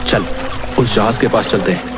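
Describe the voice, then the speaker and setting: A man's voice: on the low side, fairly even intonation, moderate pace, over music, close to an everyday voice. A man voicing a bold, curious village boy, insistent and dismissive of warnings, in a storybook tale.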